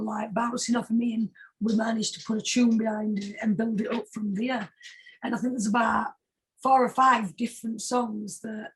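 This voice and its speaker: A British woman with a husky voice chatting casually as a singer being interviewed, informal and emphatic, often repeating herself for stress. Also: fairly high pitch, rough recording. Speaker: singer-songwriter guest.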